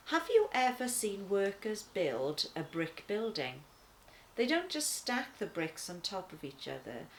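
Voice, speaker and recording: Woman, children's devotional reader, lively intonation, deliberate delivery, faint background noise. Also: medium pitch. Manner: deliberate, expressive reading to children. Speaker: children's church story reader. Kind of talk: read-aloud children's devotional.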